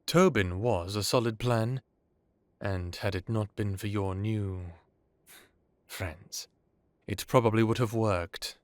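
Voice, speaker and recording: A man acts out an ancient vampire lord in an audio drama. His low voice is courtly and measured, with theatrical pauses. He sounds wry yet commanding, recorded very dry. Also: no echo. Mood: grave, warning. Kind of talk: dramatic audio roleplay acting.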